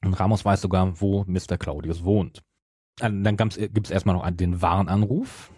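A man's voice: sits low, steady tone, normal speed, mellow, slightly rough, no echo, clean studio sound. A middle-aged man in casual podcast talk, often hesitating and correcting himself as he retells things. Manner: hesitant, self-correcting, many broken-off restarts. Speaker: podcast co-host.

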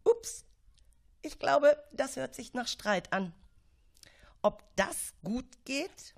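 A woman's voice: sits high, expressive, slow pace, creaky, darkish tone. A woman narrating a children's audio story dramatically and suspensefully, sometimes dropping toward a whisper. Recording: music behind the voice.

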